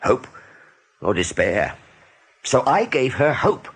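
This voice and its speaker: An actor playing a steady, kindly rabbit major in a radio play, measured and resolute. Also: male voice, mid-range voice, breathy edge, heavily acted. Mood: quietly defensive.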